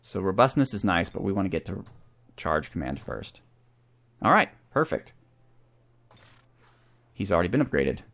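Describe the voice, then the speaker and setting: A man's voice: deep voice, big pitch swings, moderate pace, vocal fry, pauses often, fairly bright tone. A man hosting a gaming channel, talking through a strategy game and thinking aloud as he goes. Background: video game music.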